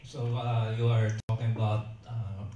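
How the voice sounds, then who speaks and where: A man's voice: lowish voice, level tone, normal speed, muffled recording. A man serving as a pageant judge, asking a probing follow-up question with some hesitation. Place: hotel event hall. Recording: very echoey hall, distant mic, room noise.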